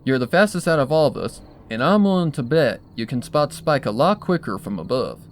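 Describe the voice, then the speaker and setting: A man voicing a steady, stern female country pony with a plainspoken, folksy manner in a storybook reading. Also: medium pitch, a little fast, a little hiss or hum. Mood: firm.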